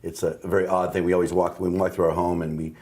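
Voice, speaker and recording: A man's voice: sits low, level tone, fast talker, darkish tone. A man appearing as an interview guest in a studio, speaking earnestly with a little joking. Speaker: guest, husband. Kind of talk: interview conversation.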